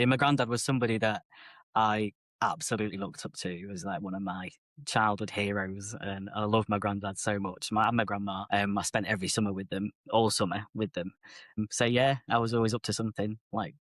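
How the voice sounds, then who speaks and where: A middle-aged man speaks openly and reflectively about his life as a podcast guest. His voice sits on the low side, and the recording is dry and close. He circles back and restarts phrases as he talks. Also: steady tone, fast talker, fairly bright tone, no room sound. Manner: open, reflective, chatty, self-correcting. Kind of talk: candid personal storytelling.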